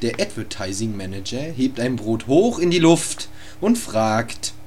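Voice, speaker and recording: Slow deadpan male narrator. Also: medium pitch, noisy background. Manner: deadpan, absurd, crude humor. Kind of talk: amateur comic radio-play narration.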